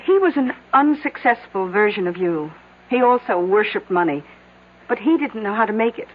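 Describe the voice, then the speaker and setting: An actress playing a dry, knowing small-town widow in an old-time radio drama, sardonic, deliberate and quietly ominous. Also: female voice, medium pitch, expressive, phone-quality sound, lightly acted. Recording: noisy, band-limited vintage radio drama recording.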